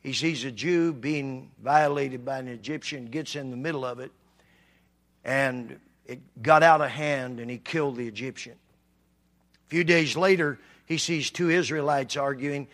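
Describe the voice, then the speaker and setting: A man preaching a sermon, swinging from quiet talk to loud, shouted passages. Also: medium pitch, normal speed. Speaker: pastor.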